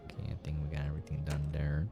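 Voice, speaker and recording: Deep-voiced man commenting on a game. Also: monotone, fast talker, over music. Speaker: game preview player. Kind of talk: casual gameplay commentary.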